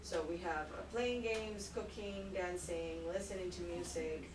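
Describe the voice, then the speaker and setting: A woman's voice: fairly low pitch, steady tone, distant mic, crowd noise. Woman teaching in an echoey classroom. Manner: slow, clear teacher talk. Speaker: English teacher. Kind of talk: classroom instruction.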